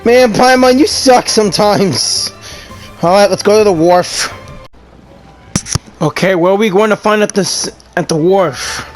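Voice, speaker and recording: A woman's voice: medium pitch, a little slow, long pauses. Casual woman gamer, faint music behind. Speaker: video game player.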